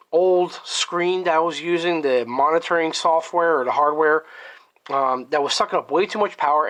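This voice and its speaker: A man's voice: a little high, fairly bright tone. A man still getting over a cold, his voice a little off, casually walking through his electronics project at a workbench while thinking aloud. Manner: thinking aloud, frequent ums and self-corrections. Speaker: electronics hobbyist presenter. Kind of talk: casual hands-on tech walkthrough.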